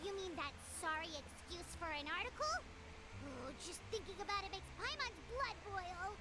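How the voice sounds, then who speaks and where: A woman voicing a tiny, excitable female fairy companion who chatters indignantly in a childlike way. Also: very high-pitched, moderate pace, very noisy. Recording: game audio with loud background ambience.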